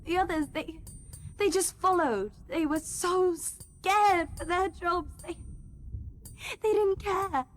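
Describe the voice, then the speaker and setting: A woman's voice: very high voice, slow, faint music behind. A woman playing a frightened but scornful captive office worker, pleading and brittle, in a radio play. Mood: terrified pleading.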